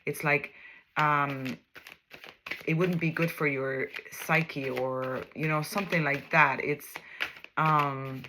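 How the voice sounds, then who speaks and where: A woman's voice: low-pitched, steady tone, slow, background noise. A woman with a Danish accent, a native Danish speaker, giving a casual tarot reading in English. She rambles, searches for words and keeps checking in with "okay?". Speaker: tarot reader.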